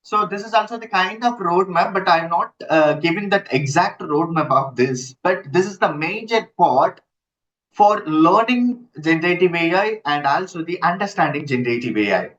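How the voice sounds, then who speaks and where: A man giving a live online lecture, halting and repeating himself as he checks in with listeners, using his computer's built-in mic. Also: high voice, animated, a bit bright. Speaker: instructor. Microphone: built-in computer mic, no headset. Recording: dull lo-fi computer audio.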